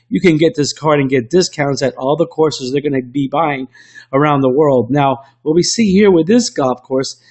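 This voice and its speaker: A man with a slightly rough voice from illness, presenting an online webinar into a computer mic in a salesy way with frequent restarts. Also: on the high side, a little mellow. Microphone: computer microphone.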